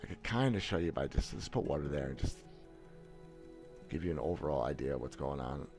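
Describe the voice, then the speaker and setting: A man's voice: extremely low pitch, lively intonation, fairly quick, darkish tone, soft background music. A man in his thirties streaming, talking bluntly and boastfully with many restarts and stammers, seated in a small room on a headset mic. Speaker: gaming streamer. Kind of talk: casual livestream commentary. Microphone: headset, webcam framing.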